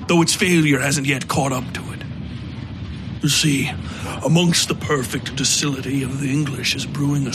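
A man voicing a bitter, drunken aristocrat who lectures and rants with a sneer, sometimes breaking into shouts. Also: on the high side, background noise, natural voice. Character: drunk, bitter, ranting male aristocrat theorist. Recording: music bed underneath.

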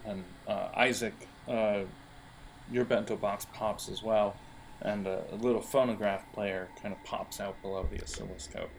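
A man acting as game master, narrating an improvised role-playing game in a casual, halting way. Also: lowish voice, steady tone, gravelly, slight background noise, poor audio.

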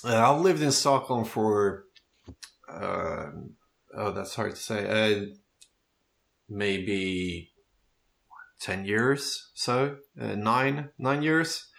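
A man's voice: fairly low pitch, animated, very slow, creaky, long pauses, fairly bright tone. A man in his thirties with a Swedish accent, a native Swedish speaker, chatting casually and thinking aloud as he talks about himself. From Sweden. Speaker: podcast speaker telling his background. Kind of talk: casual conversation.

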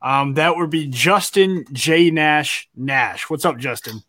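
A man with a bright, ringing voice that sits a little higher than most, co-hosting a casual live talk show. He teases his guests in easy banter, and the sound is close and dry with no room around it. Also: slow, dry recording. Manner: jokey, teasing. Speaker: podcast co-host.

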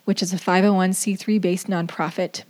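A woman's voice: fairly low pitch, level tone, moderate pace, darkish tone. A woman hosting a podcast interview in a conversational style over a video call. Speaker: podcast host.

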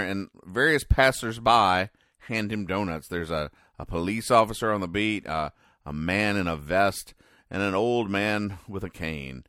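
Male comedy podcast host, deep voice, halting deadpan explainer, close dry recording. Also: dry recording. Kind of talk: comic commentary describing newspaper comic strips.

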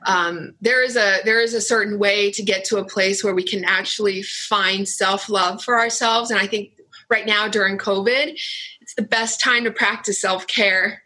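A woman's voice: mid-range voice, fairly even intonation, moderate pace, bright, forceful tone, slightly rough, rough recording. A woman in her forties, an author and workshop leader, answering interview questions in an earnest, effusive way with many restarts, over a video call.

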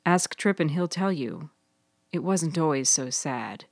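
Lady doing a smirky persuasive woman giving advice in an audiobook, low measured voice, coaxing. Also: expressive, a little mellow, no echo, studio quality, heavily acted.

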